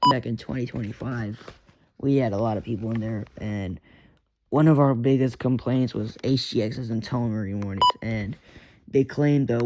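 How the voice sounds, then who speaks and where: A man with a Texan accent, a radio hobbyist rambling casually and cursing now and then as he talks about his radios at home. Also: mid-range voice. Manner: rambling, profane, self-interrupting. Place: bedroom at home. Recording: lo-fi noisy home recording.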